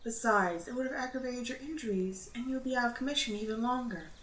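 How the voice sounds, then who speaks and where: A woman's voice: a little high, quick pace, natural voice. Teasing, caring spider woman, played by a woman, roomy echo. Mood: firm, caring. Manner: gently teasing, amused, motherly coaxing. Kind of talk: ASMR roleplay. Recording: roomy echo, audible background noise.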